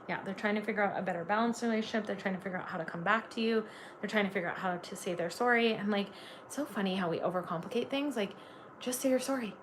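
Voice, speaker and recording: A woman's voice: mid-range voice, fast talker, background noise. A woman from Canada giving a live tarot reading, chatty and gushing, full of "like" fillers. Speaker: tarot reader.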